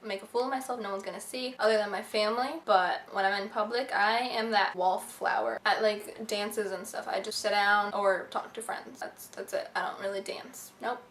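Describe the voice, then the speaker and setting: A woman's voice: medium pitch, fairly even intonation, moderate pace, hardly any pauses, fairly bright tone. Chatty young woman vlogging in an echoey room. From Egypt, raised in the USA. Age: young adult. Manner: chatty, bubbly, filler-heavy with lots of "like". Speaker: beauty vlogger. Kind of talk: casual facts-about-me vlog. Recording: echoey room, faint hiss.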